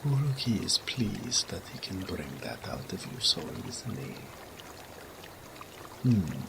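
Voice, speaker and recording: Deep male serpent creature, acted by a man, slow drawl, teasing and possessive, intimate romance roleplay, noisy watery background. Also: creaky, heavily acted. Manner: slow, third-person speech, soft murmurs dropping to whispers. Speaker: audio roleplay voice actor.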